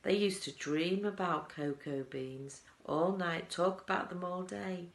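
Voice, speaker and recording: A woman's voice: on the low side, a little slow, reverberant. A woman voicing a proud, eccentric male chocolate maker telling his tale with emphatic relish in a storybook. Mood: storytelling.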